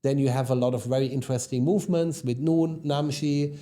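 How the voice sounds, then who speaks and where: A man in his forties with a German accent talks through his career in a relaxed podcast interview. His voice is mellow and mid-pitched, and he fills gaps with hesitations and tag questions like "no?" and "right?". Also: a little fast. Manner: conversational, frequent ums and tag questions. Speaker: guest, e-commerce executive.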